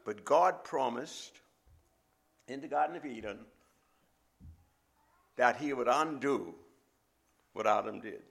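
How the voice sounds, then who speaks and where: A middle-aged man with a Bahamian accent, preaching a sermon as a visiting preacher in a measured, emphatic way. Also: medium pitch, lively intonation, vocal fry, fairly bright tone, slightly rough.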